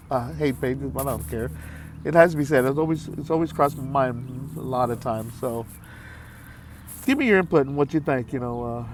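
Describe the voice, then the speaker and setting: Heartfelt man in his fifties, halting. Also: medium pitch, a little hiss or hum. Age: 50s. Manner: halting, heartfelt, repeats himself with many fillers. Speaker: vlogger. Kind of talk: candid talk to camera.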